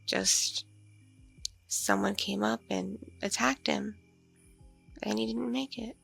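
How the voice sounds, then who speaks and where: Girl doing a vampire mom for asmr, husky n breathy, coy and lonely, talks real slow. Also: medium pitch, fairly even intonation, faint music behind, heavily acted, rough recording. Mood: subdued. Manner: slow, hesitant musing with soft hums. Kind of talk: ASMR audio roleplay, intimate one-sided conversation.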